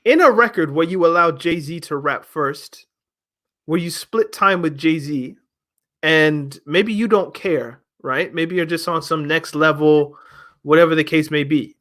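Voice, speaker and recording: A young man with a fairly high voice reviews an album in casual chat. He argues his points emphatically and fires off rhetorical questions. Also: measured pace, a bit bright. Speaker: music review host.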